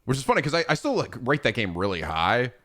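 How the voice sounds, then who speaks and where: A man's voice: mid-range voice, huge pitch swings, fast talker, fairly bright tone. A man in his thirties chatting casually on a livestream, rambling and swearing freely with frequent laughs. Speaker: streamer.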